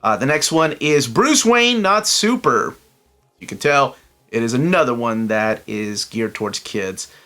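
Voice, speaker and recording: A man's voice: medium pitch, big pitch swings, a little slow, bright, forceful tone. A middle-aged man from Peru hosting a casual solo video, chatty and enthusiastic, wandering into asides full of fillers. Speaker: comic book collector channel host. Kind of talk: casual solo review vlog.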